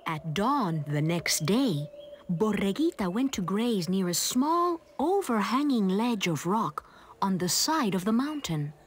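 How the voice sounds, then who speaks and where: A woman narrating a children's storybook in an expressive, deliberate way. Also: medium pitch, a little hiss or hum. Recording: music behind the voice.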